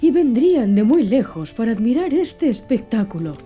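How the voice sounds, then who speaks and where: Woman reading as blunt harpooner man, softly mellow. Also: a little high, sing-song, normal speed, faint music behind. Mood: gruff, dismissive. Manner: blunt, unimpressed. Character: Ned Land, blunt, food-minded Canadian harpooner man. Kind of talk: audiobook character dialogue.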